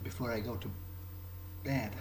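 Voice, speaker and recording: A man's voice: medium pitch, fairly even intonation, moderate pace, over loud music, low-quality recording. A man improvising in character during a video game playthrough, casual, hesitant and wry. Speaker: let's play gamer speaking in character.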